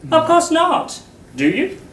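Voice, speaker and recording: A man giving a slow, deliberate public talk to an audience, pausing often, his first language Vietnamese. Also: very high-pitched, huge pitch swings, fairly bright tone. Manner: slow and deliberate, with frequent pauses. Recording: roomy hall, voice from far off.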